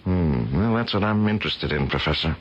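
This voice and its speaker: An actor playing an earnest, curious young student, polite and a little hesitant, in a radio drama. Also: male voice, very deep voice, expressive, brisk, slightly rough, exaggerated voice. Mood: intent, curious. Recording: muffled old radio drama recording.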